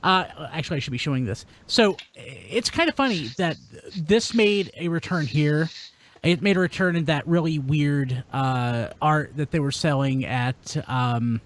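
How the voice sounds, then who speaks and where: A man's voice: fairly high pitch, a little slow, a little hiss or hum. A man hosting a podcast, chatting casually in a jokey, wry manner. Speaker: podcast host.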